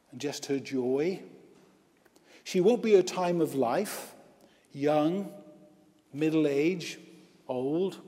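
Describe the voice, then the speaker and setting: A male priest and hospital chaplain preaching a baptism sermon, slow and emphatic, with repeated lists. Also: on the high side, a little mellow. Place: church with long reverb. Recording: reverberant church hall.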